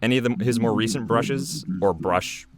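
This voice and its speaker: A man giving rambling, easygoing commentary on his own animated episode, speaking casually as its creator. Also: mid-range voice, normal speed, a loud crowd.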